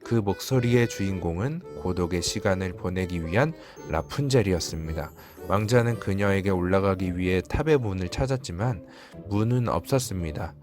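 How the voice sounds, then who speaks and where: A man with a fairly low voice narrates a storybook fairy tale from a script. Music plays clearly behind his reading.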